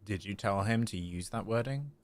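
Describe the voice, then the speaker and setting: A man's voice: lowish voice, normal speed. A man livestreaming a game, reacting casually and reading dialogue choices aloud.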